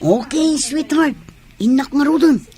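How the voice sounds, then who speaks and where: High, mellow tomboy sweetheart. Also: female voice, measured pace. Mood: affectionate. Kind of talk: Ilocano comedy radio drama acting.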